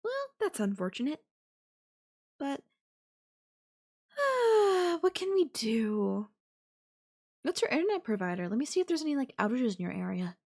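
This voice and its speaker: Woman acting as a slow-talking, clingy yandere girlfriend. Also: high voice, very animated, darkish tone, husky, heavily acted. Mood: sweet, coy. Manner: hesitant, drawn-out, coy delivery with hums and pauses. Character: clingy, possessive yandere girlfriend, feigning sweet innocence. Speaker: voice actor. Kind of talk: girlfriend audio roleplay, one side of a call.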